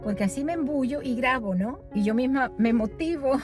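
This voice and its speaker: A woman's voice: high voice, animated, normal speed. Female vlogger raised in Los Angeles, rambling and chatty, handheld phone audio with music underneath. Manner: chatty, rambling, changes topic often, laughs at herself. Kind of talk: casual vlog walking tour. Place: outdoor street corner at night.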